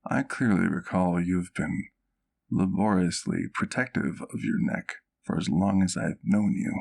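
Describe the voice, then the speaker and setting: A man's voice: low voice, creaky, a little mellow. A man playing a courtly, doting vampire doctor in an ASMR roleplay, speaking intimately to the listener in formal, precise words. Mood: measured, curious. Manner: formal, precise, measured wording.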